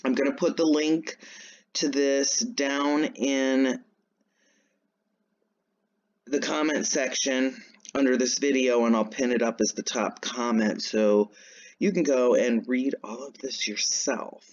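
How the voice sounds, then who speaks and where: A man's voice: mid-range voice, steady tone, slow pace, muffled recording. A man hosting his own channel, talking casually through a screen-share walkthrough in a chatty, rambling way. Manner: chatty and rambling, frequent "um" and "anyways". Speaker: channel host.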